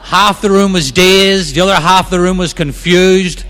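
Northern Irish man, middle-aged, high bright voice, quick self-mocking speaker, echoey hall. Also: fairly even intonation, a little hiss or hum. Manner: fast-talking, self-mocking humor. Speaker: main speaker at a recovery meeting. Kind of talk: personal recovery talk.

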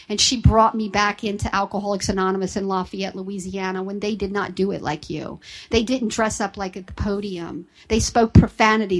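A woman's voice: medium pitch, rough recording. A woman, sharing her personal recovery story with an audience in a candid, self-deprecating way. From Southern United States. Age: 50s.

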